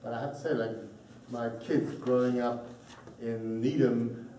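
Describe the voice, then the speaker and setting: A man from South Africa talking casually in a group discussion, halting with many fillers. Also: medium pitch, fairly even intonation, mellow. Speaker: panel speaker, parent. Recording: echoey room, distant mic, faint noise.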